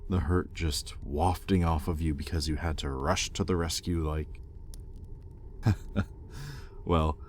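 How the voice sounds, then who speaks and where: Man playing a very deep-voiced, exasperated demon master. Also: steady tone, a little hiss or hum. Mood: stern, troubled. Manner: sardonic, exasperated, with pointed pauses. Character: exasperated demon master, possessive, sardonic, grudgingly caring. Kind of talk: intimate audio roleplay, dramatic monologue.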